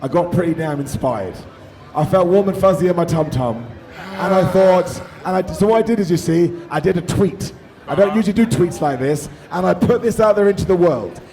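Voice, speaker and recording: A British man hosting a live wrestling review show, jokey and loud, hyping the audience, and a bit hoarse from losing his voice. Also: a little high. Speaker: host. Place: large hotel event venue. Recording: in front of a noisy live crowd.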